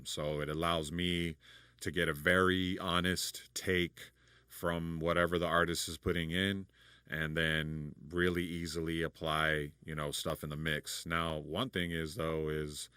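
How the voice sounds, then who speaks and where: A man with a deep voice explaining vocal recording in a relaxed, rambling tutorial, full of fillers and restarts. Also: smooth, no echo, studio quality. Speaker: recording engineer and producer. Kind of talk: casual studio tutorial.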